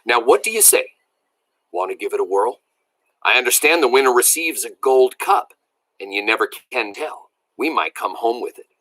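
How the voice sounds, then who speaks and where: A man voicing an eager, excitable old country grandpa in a storybook read-aloud, with folksy, emphatic delivery. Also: medium pitch, normal speed, low-quality recording. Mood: serious, earnest. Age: older.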